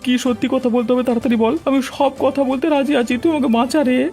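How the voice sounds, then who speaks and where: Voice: high-pitched, fairly even intonation, quick pace, a little mellow. An actor playing a gullible, greedy village man in a Bengali cartoon, with a whiny, pleading manner. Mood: panicked. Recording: music behind the voice.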